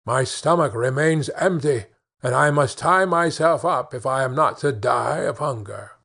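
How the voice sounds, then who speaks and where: Man as a grumbling, husky, starving fellow. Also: mid-range voice, lively intonation, unhurried, fairly bright tone, full character voice. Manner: complaining. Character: grimacing, insatiably hungry man, grumbling.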